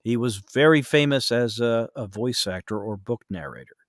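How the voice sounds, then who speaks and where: A man in a casual podcast conversation, explaining things and giving his opinions in an animated way. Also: mid-range voice, moderate pace, no echo, clean studio sound. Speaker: podcast guest, voice actor.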